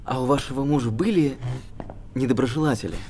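An actor playing an eager, inquisitive young amateur sleuth in a screen drama, naturalistic delivery. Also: male voice, mid-range voice, fairly quick, dark tone, a little hiss or hum, natural voice. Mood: probing. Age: young adult.

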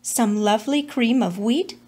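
A woman's voice: on the high side, measured pace, darkish tone. A woman voicing a doting mother coaxing her difficult young son in a children's storybook, sweetly.

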